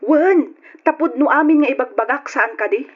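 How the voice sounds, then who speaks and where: A nagging, wounded wife in an Ilocano radio serial, played by a woman. Her voice sits high as she delivers accusing lines. The recording is dull and lo-fi, like old radio. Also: moderate pace, natural voice. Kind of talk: Ilocano radio drama acting.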